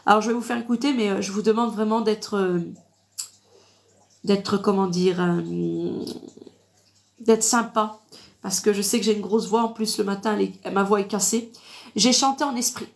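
A woman's voice: mid-range voice, steady tone, normal speed, creaky, pauses often. A woman with a congested, blocked-nose voice giving informal Bible teaching on a livestream, didactic and repetitive, punctuating points with amens. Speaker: online preacher. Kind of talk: informal live Bible teaching with verse reading.

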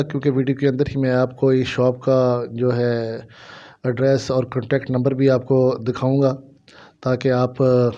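A man with a Pakistani accent gives an explanatory shop tour as a vlogger. His voice is mid-pitched and mellow, with little melody. He speaks casually and repeats himself for his viewers, and the phone audio is slightly muffled. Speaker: vlogger showing shop goods. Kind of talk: casual shopping vlog narration. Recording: dull, lo-fi phone camera audio.

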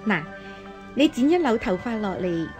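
A shrewd noble elder sister in a Hong Kong Cantonese radio drama. She speaks in a somewhat high voice, coaxing and admonishing, with music clearly heard underneath. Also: big pitch swings, normal speed. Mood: reassuring.

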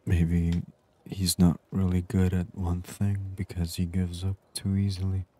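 A man doing soft-spoken ASMR game commentary, murmuring and unhurried as he reads aloud. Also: low voice, completely flat delivery. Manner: murmured, unhurried, reading on-screen text aloud. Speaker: gaming channel host.

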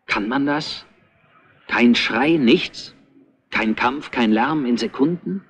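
An actor playing an earnest, suspicious detective assistant in a radio crime drama, reasoning aloud in clipped, deliberate phrases. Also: male voice, mid-range voice, fairly bright tone, husky. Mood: brooding, reasoning. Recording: dull, band-limited old radio recording.

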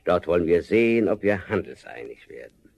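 An actor playing a sly, domineering forest giant who slowly coaxes and sneers in a fairy-tale radio play. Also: male voice, low-pitched, big pitch swings, gravelly, darkish tone, muffled recording, heavily acted. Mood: scornful, coaxing. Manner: slow, coaxing, sneering laughter.

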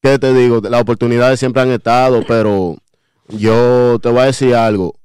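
A Dominican man in his thirties talks as a radio guest, with a clear, resonant voice at a middle pitch. He sounds humble and laid-back, and he often pauses and restarts his sentences. The studio desk mic gives a close, dry sound. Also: no room sound. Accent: Dominican Spanish. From Dominican Republic. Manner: humble, relaxed, many fillers and restarts. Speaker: guest artist. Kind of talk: casual interview chat. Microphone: desk mic with headphones.

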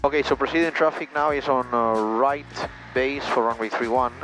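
A man's voice: mid-range voice, brisk, a bit bright, low-quality recording. A young man speaks English as a pilot, giving cockpit commentary and radio calls into a headset in a light aircraft. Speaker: pilot and vlogger. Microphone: aviation headset boom mic. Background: music, engine and radio sounds.

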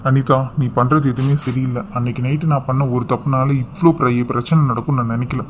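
A man's voice: mid-range voice, fairly even intonation, fast talker, close to an everyday voice. A young man acting a stern, disappointed husband who reproves his wife in a family melodrama. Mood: bitter, disappointed. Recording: dull sound with faint background noise.

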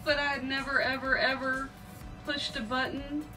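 A woman's voice: high-pitched, little pitch movement, normal speed, pushed and bright, distant mic, faint music behind, rough recording. A middle-aged woman chatting casually as a vlog co-host in a small boat cabin. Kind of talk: casual chat. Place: small wood-panelled sailboat cabin.

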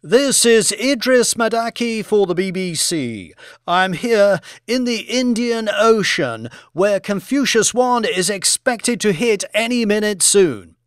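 A man voicing an earnest television news correspondent reporting live, measured and projected, in a dramatised audiobook. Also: sits high, lively intonation, smooth, no echo, studio quality, voice pushed up. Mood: excited, urgent.

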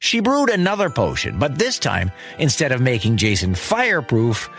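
A man's voice: medium pitch, wildly swooping pitch, moderate pace, fairly bright tone. A man telling a scripted children's story as host, in a wry, conversational manner with dramatic emphasis. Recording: music behind the voice. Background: music.

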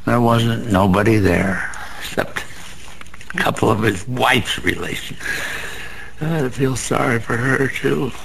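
Slow, gravelly American gambler down on luck. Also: male voice, medium pitch, fairly bright tone, poor audio. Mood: wistful boasting. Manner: slangy, rambling, world-weary. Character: down-on-his-luck, bragging male small-time gambler, sentimental and bitter. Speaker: actor. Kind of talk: radio drama monologue. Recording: faint background noise.

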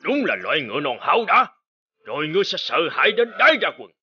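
A man's voice: a little high, sing-song, fast talker, bright, forceful tone, husky, muffled recording, full character voice. A man voicing a huge, menacing and boastful forest giant in a fairy-tale cartoon, scornful and threatening. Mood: mocking. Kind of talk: animated fairy-tale acting.

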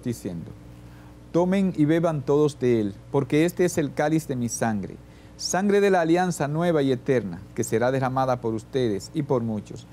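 An older man, a priest, reciting the Mass prayers at the altar in a solemn, measured way. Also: on the high side, dark tone, a little hiss or hum. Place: church sanctuary, at the altar.

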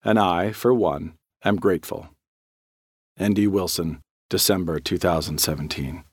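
A man narrates an audiobook foreword from a script. His voice is on the low side. The sound is dry, with very little room in it. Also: measured pace, husky, dry recording.

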